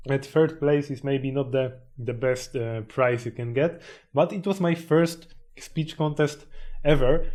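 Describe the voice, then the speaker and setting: A man's voice: medium pitch, darkish tone. Hesitant young man, self-help vlog. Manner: hesitant, many fillers and restarts. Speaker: YouTuber.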